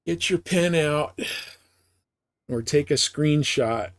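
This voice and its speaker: A man streaming a game live, chatting casually and thinking out loud in a rambling way. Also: medium pitch. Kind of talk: casual gameplay chat.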